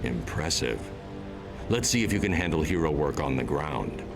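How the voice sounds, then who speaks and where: An actor playing a stern masked vigilante giving terse, grave mission briefings in a video game. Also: male voice, a bass voice, expressive, normal speed. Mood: grave, commanding. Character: stern, commanding male masked vigilante hero. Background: music.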